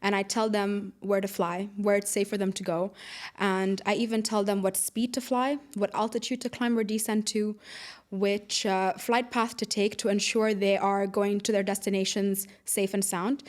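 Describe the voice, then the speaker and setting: A woman's voice: medium pitch, level tone, normal speed. Chatty woman from the UAE. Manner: informal, explains with everyday analogies. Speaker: podcast guest, air traffic controller. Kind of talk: conversational interview storytelling.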